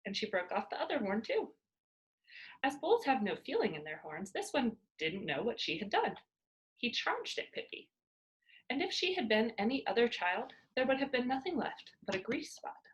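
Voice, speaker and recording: A woman reading a children's book aloud as a storyteller, with expressive narration for young pupils. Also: fairly high pitch, moderate pace, rough recording.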